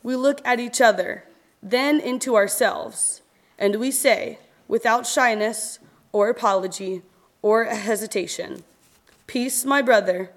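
Female reader, fairly high voice, very slow and measured, reciting scripture and verse solemnly with expressive pauses. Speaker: reader at a church service. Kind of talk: solemn reading of scripture and poetry.

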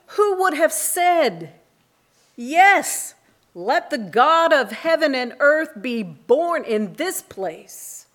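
A woman giving a reflective poetry reading as a church reader, with deliberate, dramatic phrasing and pauses. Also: high voice, fairly bright tone.

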